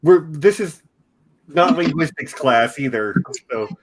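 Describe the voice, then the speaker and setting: A man's voice: a little high, lively intonation, unhurried, a loud crowd, low-quality recording. A man from Texas with a Texan accent, hosting a casual discussion and often restarting his sentences. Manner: chatty, self-correcting. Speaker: podcast host.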